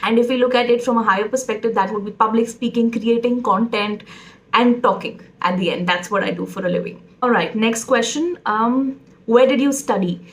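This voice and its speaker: A woman's voice: on the high side, brisk. A woman in her twenties with an Indian English accent, chatting casually in a vlog about herself and mixing in Hindi phrases. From Punjab, India. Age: 20s. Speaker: presenter introducing herself.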